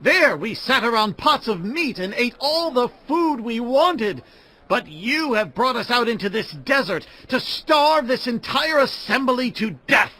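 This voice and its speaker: An indignant desert wanderer in a scripture audio drama. The voice is extremely high and forceful, swinging widely as it complains with a loud sense of grievance. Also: male voice, very animated, unhurried, exaggerated voice, low-quality recording. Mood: indignant, complaining. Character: grumbling, aggrieved Israelite complainer among the desert people.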